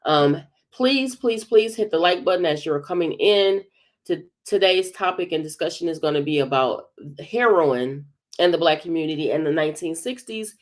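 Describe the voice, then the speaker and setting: A woman hosts a livestream commentary while stuffed up with a head cold, so her voice comes through congested. She talks hesitantly, filling her pauses with drawn-out sounds as she gathers her thoughts. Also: low-pitched. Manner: hesitant, many filled pauses. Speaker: commentary channel host.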